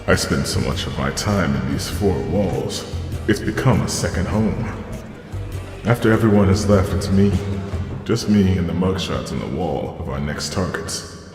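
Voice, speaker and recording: Low-voiced, dry, brooding noir police detective, understated and wry, in an echoing space. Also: male voice, expressive, unhurried. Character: weary, wry male police detective, loving husband. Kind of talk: noir audio drama narration and dialogue. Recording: roomy echoing reverb with music underneath.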